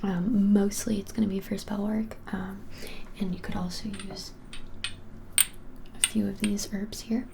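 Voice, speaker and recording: A woman in her twenties whispering softly and gently in an unhurried ASMR shop roleplay. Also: medium pitch, flat delivery, heavy background noise. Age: 20s. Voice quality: soft, breathy whisper. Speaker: ASMR creator playing a witch shop keeper. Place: small indoor room, plain wall.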